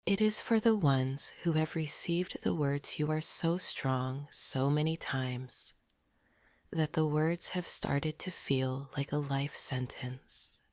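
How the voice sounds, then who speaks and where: A woman narrating a scripted sleep meditation in a soft, breathy near-whisper, gentle and deliberate. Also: sits low, no room sound, studio quality.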